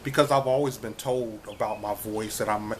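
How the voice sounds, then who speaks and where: A man in his fifties with a soothing voice, vlogging casually from a hotel room, talking slowly with many restarts. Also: lowish voice, steady tone, a little hiss or hum. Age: 50s. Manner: slow, repetitive, many restarts and fillers. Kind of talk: casual vlog chat. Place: extended stay hotel room.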